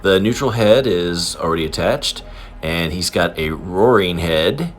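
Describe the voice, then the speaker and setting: Excitable, low-pitched man reviewing toys. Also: measured pace. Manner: excitable, giggly fan enthusiasm. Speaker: toy reviewer and collector. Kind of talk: casual unboxing and review chat. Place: indoors in an apartment.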